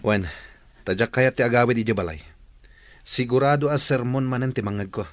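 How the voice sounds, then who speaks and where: A man's voice: mid-range voice, brisk, no room sound, natural voice. A man playing a scheming street thief who plots robberies with his partner in a radio drama. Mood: shifty, urging. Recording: old noisy radio drama recording.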